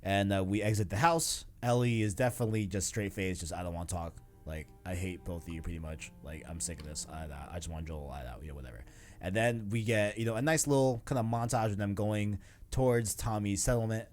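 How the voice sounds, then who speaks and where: A man's voice: deep voice, a little mellow. A man hosting a casual video game discussion, talking in a rambling, enthusiastic, slangy way. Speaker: podcast host.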